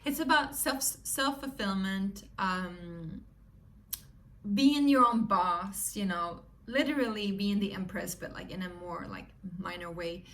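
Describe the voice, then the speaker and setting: A woman's voice: medium pitch, unhurried, fairly bright tone, recorded from a distance. A woman from Sweden with a Swedish accent, chatting casually through a tarot reading for her viewers and often asking "you know?" and "right?". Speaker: tarot reader.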